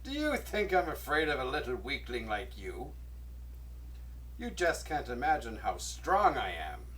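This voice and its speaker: A man voicing an enormous, dull-witted, boastful giant in a storybook reading, booming and scornful. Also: wildly swooping pitch, measured pace, fairly bright tone, far from the mic. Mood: roaring, boastful.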